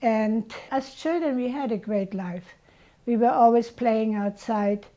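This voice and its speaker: A woman, telling her childhood story as an interviewee in a slow, reflective way. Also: fairly high pitch, dark tone, muffled recording. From Germany. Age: 80s. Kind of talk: reminiscing about childhood.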